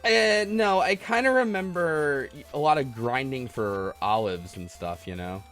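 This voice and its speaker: Man chatting casually over loud game music. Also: mid-range voice, lively intonation, measured pace. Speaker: host of a gaming livestream podcast taking callers. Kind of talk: casual chat while playing a game. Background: retro video game music.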